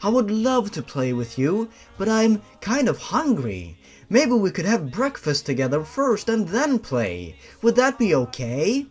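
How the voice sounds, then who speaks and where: A man's voice: high-pitched, wildly swooping pitch, unhurried, a little mellow, husky, soft background music, voice pushed up, heavily acted. A man voicing a polite young woman from a horror fan story, in formal phrasing. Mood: kind. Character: polite young woman, kind to children, coolly menacing.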